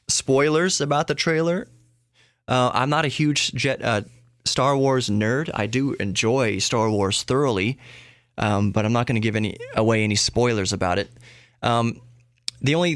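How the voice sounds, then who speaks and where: A man's voice: lowish voice, fairly bright tone, smooth, dry recording. A man hosting a podcast solo, talking casually in a rambling way, often interrupting himself and repeating words for emphasis. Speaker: podcast host. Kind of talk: casual solo tech and geek news talk.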